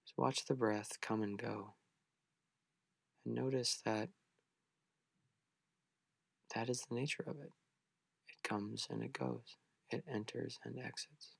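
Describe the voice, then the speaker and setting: A man leading a beginners' guided meditation, speaking gently and unhurriedly with long pauses. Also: medium pitch, steady tone, creaky, no echo.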